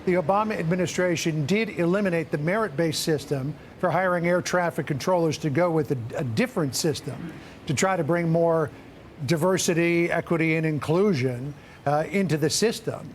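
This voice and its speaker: A man's voice: on the high side, normal speed, slight background noise. An American man working as a news anchor, setting up and asking questions in a broadcast interview.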